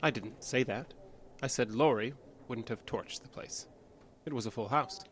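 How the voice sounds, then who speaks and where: A man's voice: medium pitch, moderate pace, a little hiss or hum, lightly acted. An actor playing a stubborn, guarded diner manager in an audio drama, terse and insistent in his answers. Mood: guarded, defensive. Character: guarded, stubborn male diner assistant manager under questioning.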